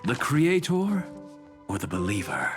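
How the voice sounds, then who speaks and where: A man's voice: mid-range voice, huge pitch swings, unhurried, faint music behind. A man voicing a proud, eccentric scholar in an anime-style video game, erudite, self-assured and theatrical. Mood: irreverent, impassioned. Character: eccentric, proud male scholar, irreverent philosophical professor.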